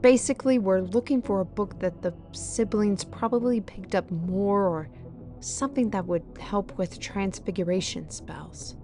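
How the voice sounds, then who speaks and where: A knowledgeable female companion from a fantasy audio drama, acted by a woman. She explains things earnestly and at length, in a medium-pitched voice. Soft music sits underneath. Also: measured pace, lightly acted. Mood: earnest, explanatory. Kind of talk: fantasy audio roleplay acting.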